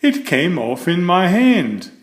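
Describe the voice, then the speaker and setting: A cheerful, bumbling, untidy man from a children's storybook, voiced by a male narrator. He sounds breezy and a little sheepish, with lively swings in pitch. The recording has a roomy echo. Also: takes it slowly, full character voice. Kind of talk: storybook character voice.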